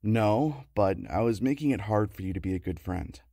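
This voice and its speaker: Actor as mellow, low-voiced patient partner. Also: male voice, lively intonation, moderate pace, dry recording, clean studio sound. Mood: wry affection. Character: patient, affectionate, wry husband or boyfriend. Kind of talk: naturalistic audio drama acting.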